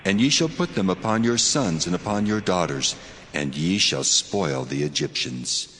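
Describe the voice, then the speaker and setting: Booming deep God voice, cavernous reverb. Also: male voice, expressive, fairly bright tone. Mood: commanding, prophetic. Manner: solemn, declamatory, deliberate. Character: God, commanding solemn male deity of scripture. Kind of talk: dramatized scripture audio drama. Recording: long cavernous reverb under prominent music. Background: music.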